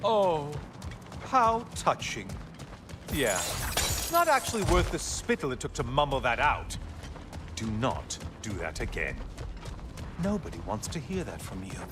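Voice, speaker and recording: A man's voice: mid-range voice, sing-song, a little slow, long pauses. An actor playing a bitter, mocking male tormentor in a video game, with a sarcastic, theatrical delivery. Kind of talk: dramatic video game acting. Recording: loud music behind the voice.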